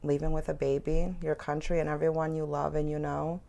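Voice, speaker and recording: A woman who grew up in Germany talks as a guest on a casual podcast. Her voice is low with a gravelly edge, and she tells her story in a relaxed, thoughtful way. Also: fairly quick. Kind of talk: casual conversation.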